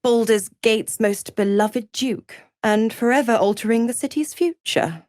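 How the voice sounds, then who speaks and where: A woman's voice: fairly high pitch, unhurried, a little mellow, dry recording, clean studio sound, lightly acted. An actress playing a seductive, gloating female devil in a video game, purring with theatrical, mocking condescension and savoring her words. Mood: mocking, scheming. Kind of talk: scripted video game character acting.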